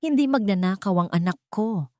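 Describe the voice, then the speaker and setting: A woman's voice: medium pitch, animated, normal speed, darkish tone, no room sound, studio quality, voice pushed up, close to an everyday voice. A woman voicing a proud, anguished billionaire father in a story, intense and emotional. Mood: gritted indignation.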